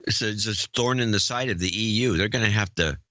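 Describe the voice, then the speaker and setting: Gruff, bright-voiced male podcast co-host. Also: on the low side, fast, no echo. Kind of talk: casual chat.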